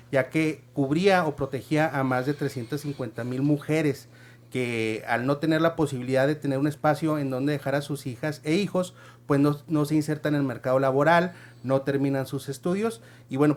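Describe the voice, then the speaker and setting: A man, giving formal commentary on a panel and laying out his points in order. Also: fairly high pitch. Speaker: guest panelist.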